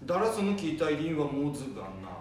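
A forgetful old grandfather acted by a man grumbles in Nanbu dialect, slightly high for a man. He is stubborn and easily puzzled, in a homemade radio drama recorded far from the mic in a very echoey room. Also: big pitch swings, moderate pace, a little mellow. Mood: won over. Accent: Nanbu dialect, northern Tohoku. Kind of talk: amateur radio drama acting. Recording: echoey room, distant mic, faint noise.

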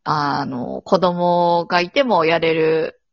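Female guest, slightly low voice, halting reflective chat; dry, muffled lo-fi recording. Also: gravelly, no room sound. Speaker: guest, amateur theater troupe founder.

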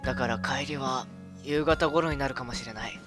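A man acting a mild-mannered, smoothly deceitful young husband in an amateur radio drama, talking in an apologetic, domestic way. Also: mid-range voice, moderate pace, lightly acted. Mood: casual. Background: music.

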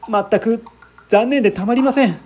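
A man's voice: extremely high pitch, normal speed, a little mellow, husky, voice pushed up. A man reading the part of an earnest, anxious man who politely recounts a friend's death in a dramatic radio-story style. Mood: agitated, regretful.

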